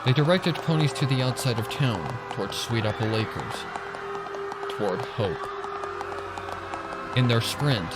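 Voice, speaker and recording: A man reading scripted fan fiction as a dramatic narrator. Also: medium pitch. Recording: loud music and effects behind. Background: loud music.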